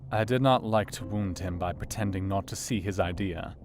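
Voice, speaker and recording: Low-voiced, earnest gentleman diarist played by a man. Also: close to an everyday voice. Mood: reflective. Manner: measured, formal, literary. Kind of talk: scripted diary narration in audio drama. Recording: plucked guitar music behind the voice.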